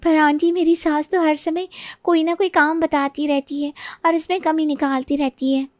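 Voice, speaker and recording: A woman's voice: high voice, little pitch movement, normal speed, a little mellow, dry recording, pitched above the performer's own voice, close to an everyday voice. A woman voicing a sweet, put-upon young daughter-in-law who chats girlishly in a moral storybook tale. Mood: apologetic, complaining. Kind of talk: animated moral storybook dialogue.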